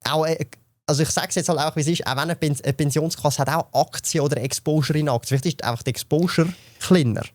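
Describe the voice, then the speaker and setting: A Swiss German man in his thirties explains things in quick bursts, full of fillers and restarts. His pace runs very fast, and the close mic sounds dry, with no room around the voice. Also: on the high side, dry recording. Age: 30s. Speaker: podcast guest, investor. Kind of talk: casual podcast chat.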